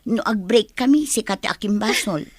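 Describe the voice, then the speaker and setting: A woman's voice: mid-range voice, expressive, moderate pace, no echo, lightly acted. A woman playing a smitten, chatty young female student in an Ilocano radio drama. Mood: chatty, playful.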